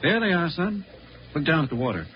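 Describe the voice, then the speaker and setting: An actor playing a calm, gentle, solemn father in a 1950s radio drama. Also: fairly high pitch, fairly quick, a crowd in the background. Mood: quietly tender. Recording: dull 1950s radio recording, faint noise.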